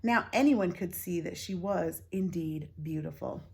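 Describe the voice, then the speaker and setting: Husky-voiced woman reading a picture book aloud. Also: on the low side, unhurried. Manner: expressive, with whispered asides. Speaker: teacher reading to children.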